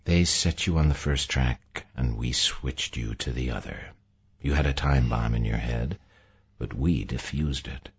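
A man voicing a calm, knowing woman who guides a shaken man through an awakening, in a deep, hushed, breathy voice, read from a book. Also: measured pace, exaggerated voice. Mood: soothing. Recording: muffled lo-fi recording.

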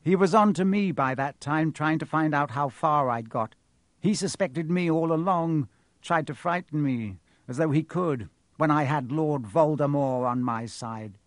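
A coolly menacing male professor villain from a storybook, as a man narrator puts him on. The voice is sharp and somewhat high, gloating and mocking without hurry. The sound is very dry. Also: no echo, lightly acted. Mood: casual, dismissive. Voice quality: cold, sharp. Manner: smug, mocking, unhurried.

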